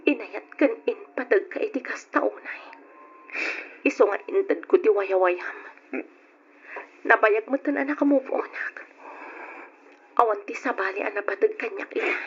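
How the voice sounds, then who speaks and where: A woman playing a young mother in a radio drama, dramatic in delivery, with a breathy voice. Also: medium pitch, unhurried, pauses often, slight background noise, close to an everyday voice. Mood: sad, resolute. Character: hurt but forgiving young mother, firm and dignified. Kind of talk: old-style radio drama acting. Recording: dull lo-fi radio drama audio.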